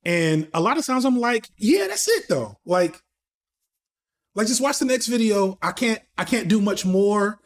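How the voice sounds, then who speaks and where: Guy in his 30s on a podcast, kinda higher voice, real loose and slangy, keeps restarting sentences. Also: sing-song, a little slow. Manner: candid and slangy, with frequent restarts and swearing. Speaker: podcast guest. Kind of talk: casual conversational interview.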